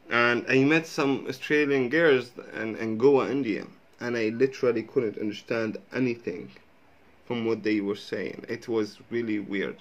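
A man in his thirties, a native Arabic speaker with an Arabic accent, chatting loosely in a reaction video, rambling and swearing casually, recorded in a small room on earphones. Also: medium pitch. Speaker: reaction vlogger. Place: small indoor room with a sheet hung behind. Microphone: wired earphone mic.

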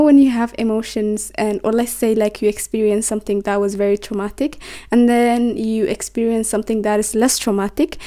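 A woman's voice: a little high, warm tone. A woman in her twenties whose first language is Somali, talking to the camera in a small bedroom, rambling and stumbling over her words as she reflects aloud. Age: 20s. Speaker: vlogger. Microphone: camera on a desk, facing her.

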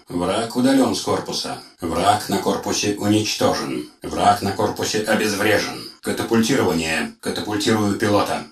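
An actor voicing a calm, dutiful male combat robot, giving clipped military reports in a game. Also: deep voice, echoey room, recorded from a distance, lightly acted. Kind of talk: game voice lines.

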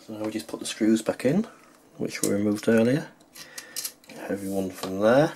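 A man's voice: fairly low pitch, animated, moderate pace, a little mellow, a little hiss or hum. A man narrating a hands-on how-to as a tutorial host, explaining plainly step by step.

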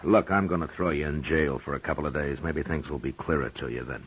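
An actor playing a steady, commanding frontier marshal in a 1950s radio drama. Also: male voice, a bass voice, fast talker, husky. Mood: firm. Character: calm, firm frontier marshal, grown man.

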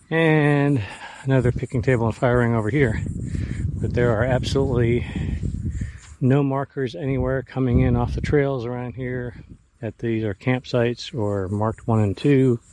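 A man in his fifties vlogging in a relaxed, chatty way with lots of fillers, filmed outdoors at arm's length. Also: mid-range voice, normal speed, background noise. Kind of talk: casual outdoor vlog narration. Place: outdoors on a beach campsite.

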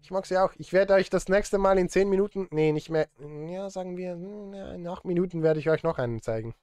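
A man's voice: high-pitched, fairly quick, a little mellow, clear, resonant tone, no echo. Dry, close-miked man. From Switzerland. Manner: self-deprecating, joking asides. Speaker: streamer and film composer. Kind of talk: casual live-stream chat while composing. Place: indoor streaming setup.

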